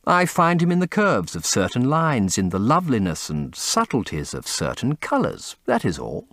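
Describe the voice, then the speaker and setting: Man acting impassioned painter, animated voice. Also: mid-range voice, normal speed, dry recording, studio quality, exaggerated voice. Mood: earnest. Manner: impassioned, rhapsodic, confessional. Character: earnest, intense male painter, devoted and anguished. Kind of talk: dramatic audiobook character acting.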